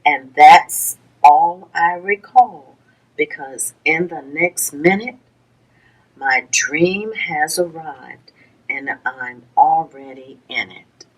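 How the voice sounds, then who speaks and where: Slow-paced woman reading a children's picture book. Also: low-pitched, rough recording. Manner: deliberate, rhythmic reading of rhyming verse for young children. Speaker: librarian story-time reader.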